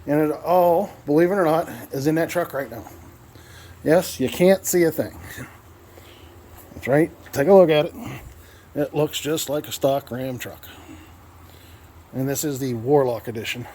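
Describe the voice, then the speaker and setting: A man's voice: a little high, measured pace, pauses often, mellow, a little hiss or hum. An American man giving a casual, conversational vlog walkthrough in a folksy, plain-spoken way. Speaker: vlogger, radio hobbyist.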